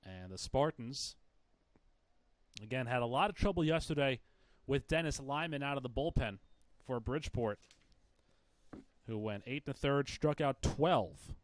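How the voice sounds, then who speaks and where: A man's voice: mid-range voice, expressive, measured pace. A man calling a baseball game live as play-by-play commentator.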